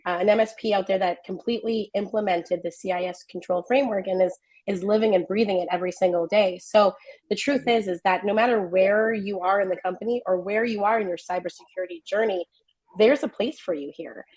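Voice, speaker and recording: A woman with a hoarse, worn-out voice, organizer of the event, explaining it in an upbeat, friendly way as a guest on a video call. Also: mid-range voice, brisk, darkish tone, poor audio. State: hoarse, lost voice.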